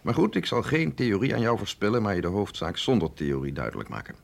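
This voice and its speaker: Gezaghebbende dokter met krakende stem. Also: male voice, on the low side, lively intonation, vocal fry. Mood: patient, instructive. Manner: measured, explanatory, authoritative. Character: authoritative male doctor at a secret research institute, patient and grave. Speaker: doctor. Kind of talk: radio drama acting.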